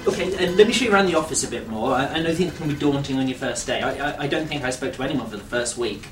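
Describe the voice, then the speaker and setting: Woman, flustered, stammering restarts, lively pitch, distant mic. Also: racing, music in the background. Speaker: comedy podcast performer. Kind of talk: scripted comedy sketch acting. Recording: roomy echo, distant mic, faint ambience.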